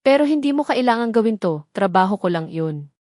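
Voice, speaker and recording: A woman narrator voicing a formal, guarded but softening woman doctor in a romance story, with polite restraint. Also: mid-range voice, animated, a little fast, no echo, clean studio sound, lightly acted. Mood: softened.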